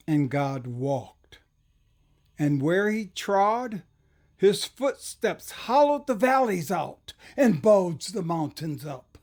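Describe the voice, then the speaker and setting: A man performing a dramatic poetry recitation, solemn and reverent, moving between hushed tones and booming declamation. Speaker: poet performing a dramatized poem. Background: music.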